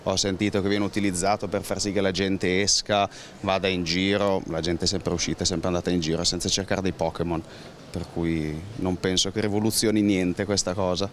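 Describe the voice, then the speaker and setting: A man's voice: sits low, flat delivery, fairly quick, slight background noise. A man giving blunt, dismissive opinions to a street interviewer, outdoors into a handheld mic. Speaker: passerby interviewed. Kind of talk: casual opinion. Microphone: handheld microphone.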